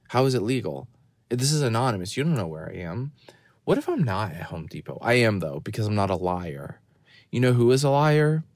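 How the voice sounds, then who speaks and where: A man giving a rambling, deadpan and self-mocking running commentary from a parked car, recorded on his phone. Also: mid-range voice, no room sound, clean studio sound. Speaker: podcast host. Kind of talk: rambling off-the-cuff monologue. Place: parked car in a store parking lot.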